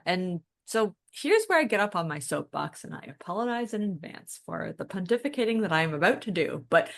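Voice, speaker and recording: Female podcast host, slightly low register, noticeable vocal fry, thoughtful and wordy discussion delivery with self-deprecating touches. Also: fairly bright tone. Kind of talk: conversational podcast discussion of ideas.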